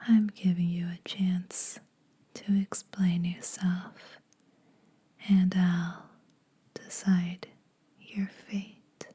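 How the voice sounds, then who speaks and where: A woman playing a menacing, teasing vampire huntress in a soft, breathy ASMR whisper, slow, deliberate and condescending. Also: on the low side, exaggerated voice. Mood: cool, threatening.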